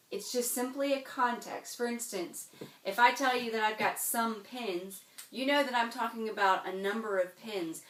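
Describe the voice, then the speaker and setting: A woman teaching a French grammar lesson, explaining patiently step by step and often checking in with "okay?". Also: mid-range voice. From United States. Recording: echoey room, voice from a distance.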